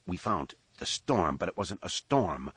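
A man's voice: low voice, big pitch swings, a little slow, fairly bright tone, husky, no echo, full character voice. A man voicing a frightened male survivor, out of breath and pleading frantically. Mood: frantic.

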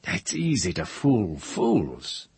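A man's voice: medium pitch, lively intonation, a little slow, husky, no room sound, pitched above the performer's own voice, heavily acted. A man voicing a heavyset woman in a story, smug, blunt and dismissive. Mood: smug contempt.

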